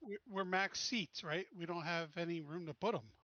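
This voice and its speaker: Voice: mid-range voice, normal speed, bright, forceful tone, dry recording, lo-fi sound. A player in a tabletop roleplaying game who plans aloud and stammers through restarts. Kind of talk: casual tabletop game planning.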